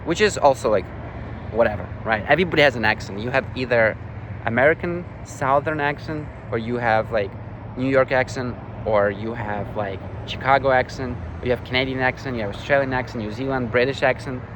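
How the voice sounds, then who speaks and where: Young man, European accent, rambly casual vlog talk, mid pitch, clear traffic noise outdoors. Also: fairly quick. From Ukraine. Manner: rambling, many "like" and "you know" fillers. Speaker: vlogger. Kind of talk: casual reflective vlog update.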